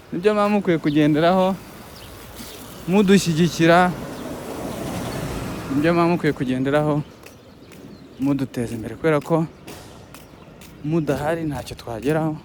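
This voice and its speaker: A man with a Rwandan accent whose first language is Kinyarwanda, speaking in a fairly high voice. He talks haltingly to his viewers, restarting sentences and pleading for support. Faint outdoor noise sits behind him. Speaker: YouTube content creator. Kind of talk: casual vlog talk to viewers. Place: outdoors by a road with passing buses.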